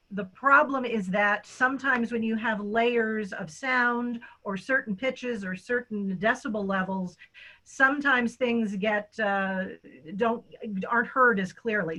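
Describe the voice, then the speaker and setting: Bright-voiced woman hosting a theatre event. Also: mid-range voice, poor audio. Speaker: theatre company director and host. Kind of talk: event hosting, introductions and thanks.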